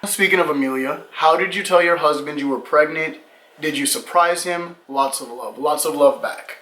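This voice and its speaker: A man's voice: on the high side, normal speed, bright, forceful tone, echoey room, rough recording. A man in his late twenties co-hosting a casual Q&A with his wife, reading viewer questions and teasing. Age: 20s. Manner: joking, teasing, reads questions aloud. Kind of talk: casual couple Q&A chat.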